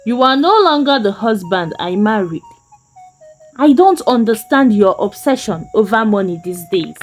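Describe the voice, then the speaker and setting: A woman's voice: mid-range voice, animated, moderate pace, faint music behind, lightly acted, low-quality recording. A woman storyteller voicing a worried, reproachful young village wife, earnest and admonishing, in a folktale. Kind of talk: storytelling character voice.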